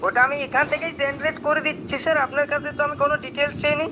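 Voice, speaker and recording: A man's voice: extremely high pitch, little pitch movement, racing, a crowd in the background. A man on a phone call, talking as bank staff in an insistent, repetitive way. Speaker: caller posing as bank staff. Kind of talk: phone conversation. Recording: noisy recorded phone call.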